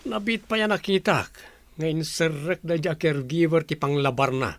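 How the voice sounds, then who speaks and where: Comic household sidekick, played by a man: high male voice, excitable, silly, blundering radio comedy. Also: moderate pace, no room sound, close to an everyday voice. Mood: silly alarm. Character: silly, blundering household member teasing the grandparents. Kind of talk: Ilocano comedy radio drama acting.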